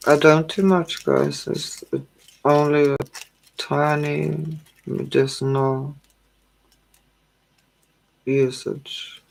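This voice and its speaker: A weary woman talks to her online viewers at a slow, unhurried pace. Her voice is gravelly and drowsy, soft and calming. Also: low-pitched, rough recording. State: tired. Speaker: tarot livestream host. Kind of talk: casual livestream chat with viewers.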